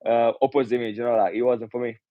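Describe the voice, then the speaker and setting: A man's voice: medium pitch, steady tone, very fast, dry recording. A Romanian-born man in his twenties, a pro boxer, answering casually with frequent hesitations and "do you know". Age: 20s. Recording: dull muffled audio.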